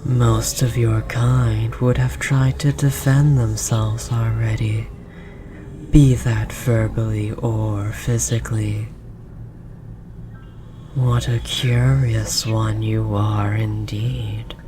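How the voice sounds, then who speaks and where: Casting: ominous forest deity played by an actor for audio roleplay, extremely slow, breathy whispering, gently menacing and possessive, over noisy ambience. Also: medium pitch, flat delivery, lightly acted. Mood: intrigued, gentle. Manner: slow, solemn, archaic formal phrasing. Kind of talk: scripted roleplay audio drama monologue.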